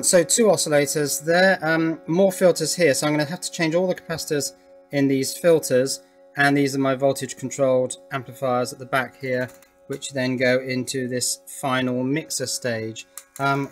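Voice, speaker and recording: A British man presenting an informal hands-on demonstration, thinking aloud with an enthusiastic manner. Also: medium pitch. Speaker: electronics hobbyist presenter.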